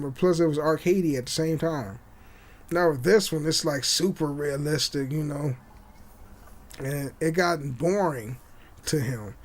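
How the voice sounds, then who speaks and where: A young man hosting a podcast, chatting casually and haltingly, with repeated words and frequent swearing. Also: a little high, darkish tone. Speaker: podcast host. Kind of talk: casual rambling chat with swearing. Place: small home room indoors. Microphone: condenser mic on boom arm.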